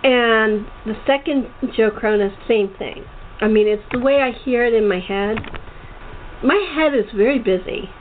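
High-voiced woman host on a phone line. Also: a little slow. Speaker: podcast host interviewing a guest; writer. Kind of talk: casual conversational interview. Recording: thin phone line with hiss.

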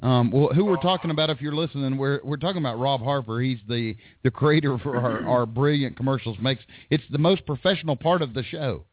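A man's voice: medium pitch, a little fast, few pauses, breathy edge, no room sound. A man hosting a call-in radio show, chatting casually with frequent ums and laughs. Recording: dry, tinny phone-like line.

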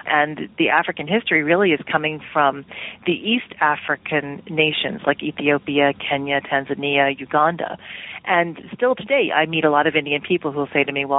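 A woman's voice: low voice, dry recording. A woman television producer talking casually as a radio interview guest, chatty and hedging as she thinks aloud. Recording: tinny phone line.

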